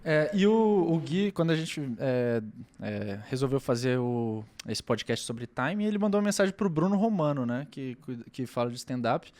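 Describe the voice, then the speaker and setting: A man's voice: mid-range voice, animated, moderate pace, a little mellow. A man with a Brazilian Portuguese accent chatting casually as a co-host on a podcast. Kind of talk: casual chat.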